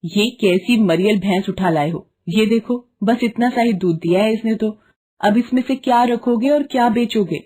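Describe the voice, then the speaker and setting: A nagging, ambitious village wife from a cartoon moral tale, acted by a woman. She is insistent and scolding, with a soft-toned, slightly husky voice that pushes upward in pitch. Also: moderate pace, close to an everyday voice. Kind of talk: animated children's cartoon story dialogue.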